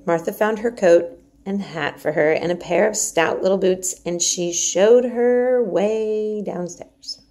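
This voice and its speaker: A woman reading a children's novel aloud as narrator, in an expressive storytelling manner. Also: mid-range voice, slow pace. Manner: expressive, explains old words aside. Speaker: storybook reader, teacher-like narrator.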